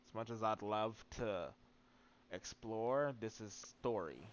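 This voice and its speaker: A man's voice: lowish voice, slow, muffled recording, a little hiss or hum. A man doing casual, rambling and playful live-stream commentary while he plays a video game. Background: faint game music.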